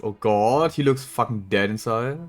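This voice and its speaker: A woman's voice: extremely low pitch, normal speed, darkish tone, soft background music. A woman streamer giving casual, amused, sweary commentary on a game, often echoing its lines.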